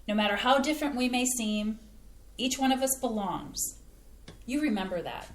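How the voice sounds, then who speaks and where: A woman plays a sincere, homespun mom and home cook in a community stage play. Her voice sits fairly high and comes through an echoey room with some noise behind it. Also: lively intonation, moderate pace, lightly acted. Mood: tender, earnest. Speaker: actor in a staged play reading. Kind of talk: scripted community theatre acting. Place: indoor playhouse staged reading.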